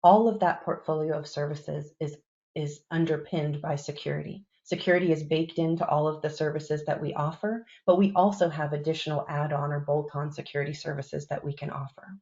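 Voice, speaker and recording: A woman's voice: low voice, little pitch movement, brisk, warm tone, muffled recording. A woman moderating a corporate panel, presenting in a polished, upbeat, emphatic way.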